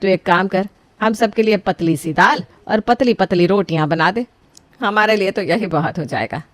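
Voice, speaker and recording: A woman's voice: medium pitch, moderate pace, dry recording, close to an everyday voice. A woman voicing a scolding mother-in-law. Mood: instructing. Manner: scolding, bossy, calls everyone beta. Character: strict frugal middle-aged mother-in-law, scolding. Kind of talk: scripted family story voicing.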